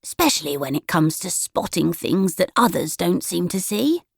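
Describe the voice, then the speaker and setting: A woman voicing a brisk, sly old witch granny in a children's storybook, gruff but fond. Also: lowish voice, very animated, dry recording, studio quality, full character voice. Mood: fond scolding.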